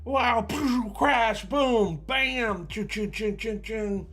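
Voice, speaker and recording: A man's voice: high voice, drawn-out words, fairly bright tone. A man hosting a read-along, tossing in goofy, playful asides. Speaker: host.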